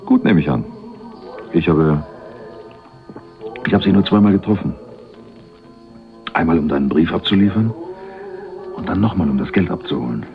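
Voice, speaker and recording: An actor playing a cocky, laid-back small-time crook in a radio crime drama, talking in a casual, slangy, sly way. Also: male voice, low voice, expressive, moderate pace, creaky, pauses often, phone-quality sound, faint music behind. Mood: casual, evasive. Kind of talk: 1980s German radio crime drama acting.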